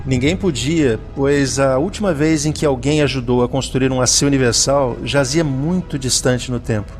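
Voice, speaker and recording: A man's voice: medium pitch, moderate pace. A man narrating a scripted audio drama in a solemn, literary reading style, with music behind him.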